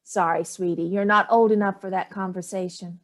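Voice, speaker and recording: A woman's voice: mid-range voice, fairly even intonation, brisk, close to an everyday voice. An older woman playing a haughty, evasive elderly mother in a staged play reading, dismissive and theatrical with barbed remarks. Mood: airy, cutting.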